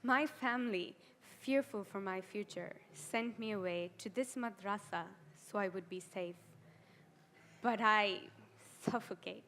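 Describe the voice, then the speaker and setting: A woman performing a dramatic stage monologue as a frightened, ashamed adolescent girl, deliberate and full of heavy pauses. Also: medium pitch, a bit bright, slight background noise. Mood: fearful, bitter. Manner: slow, deliberate, with heavy pauses and swelling emphasis.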